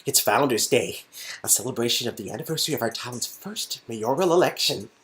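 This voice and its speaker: A man's voice: mid-range voice, expressive, brisk, a bit bright, rough recording. A man reading an interactive story game aloud in a casual, jokey way, with goofy ad-libs. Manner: jokey, goofy, prone to ad-libbing. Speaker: co-reader performing a visual novel game. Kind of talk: casual, playful read-aloud with improvised asides.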